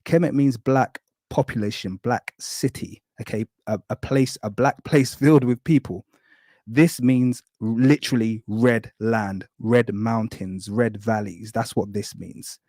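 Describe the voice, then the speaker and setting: A man's voice: mid-range voice, steady tone, a little mellow, dry recording, studio quality. A man, a guest on a live stream, explaining ancient writing thoroughly and often apologising for taking time. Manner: thorough, apologetic, keeps saying really quickly. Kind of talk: live-stream lecture with visual aids.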